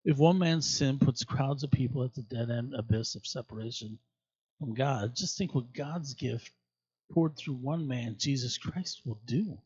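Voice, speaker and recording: A man reading scripture aloud expressively, with emphatic, deliberate phrasing and dramatic pauses. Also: mid-range voice, darkish tone, husky, no room sound, lo-fi sound. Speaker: scripture reader at a church.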